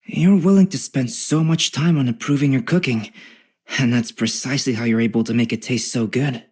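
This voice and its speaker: A man voicing a calm, scholarly young alchemist in a video game, speaking in a measured, reflective and softly formal way. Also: medium pitch, breathy edge, close to an everyday voice. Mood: pleased. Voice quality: gentle, calm. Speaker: voice actor playing a video game character. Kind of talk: scripted game character voice lines.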